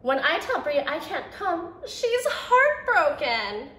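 A young woman reads a children's picture book aloud in a slow, unhurried way, her voice rising and falling a great deal. Her pitch sits a little high, and she gives each quoted line its own expression. The room sounds roomy, with a faint hiss underneath. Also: big pitch swings, sharp tone. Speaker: preschool teacher. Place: indoor room by a brick fireplace.

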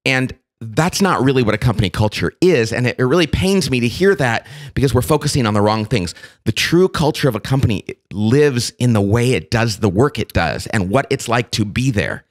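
A man hosting a work podcast while he has a cold, talking in a relaxed, self-deprecating, joking way. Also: mid-range voice, brisk, few pauses. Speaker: podcast host. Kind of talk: casual podcast chat.

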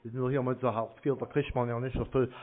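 A man's voice: mid-range voice, level tone, fast, natural voice. A man voicing a naive, chatty, henpecked husband with a childlike love of model trains, rambling guilelessly on a prank call. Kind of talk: prank call to phone scammers. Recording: muffled narrow-band phone call.

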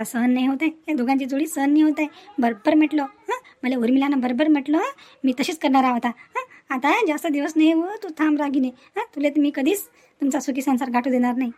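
Woman acting a high-pitched, spiteful scheming mother-in-law. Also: a little fast, a little mellow, natural voice. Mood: bitter, scheming. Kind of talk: cartoon family drama acting.

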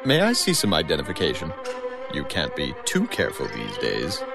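An actor playing a theatrical horror radio show host in an audio drama, speaking in drawn-out patter. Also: male voice, very deep voice, huge pitch swings, creaky, over music, heavily acted. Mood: sinister. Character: smooth male horror-show host Bob, menacing then pleading.

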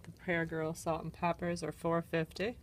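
A woman's voice: fairly low pitch, little pitch movement, moderate pace, a little hiss or hum. A woman with a Canadian accent casually walks through an antique mall, listing items and prices while filming on her phone. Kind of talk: casual walk-through narration. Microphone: handheld phone camera.

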